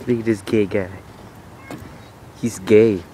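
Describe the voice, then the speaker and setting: A man's voice: on the low side, steady tone, slow, warm tone, slight background noise. A young man filming a fishing trip with friends, joking crudely and laughing on a handheld camera outdoors. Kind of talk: casual joking chat. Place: lakeside park outdoors, windy. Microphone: handheld phone camera.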